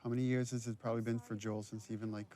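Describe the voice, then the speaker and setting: A man's voice: medium pitch, flat delivery, rapid-fire, warm tone. A man giving casual, explanatory commentary as a game's writer and director. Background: game cut-scene sound.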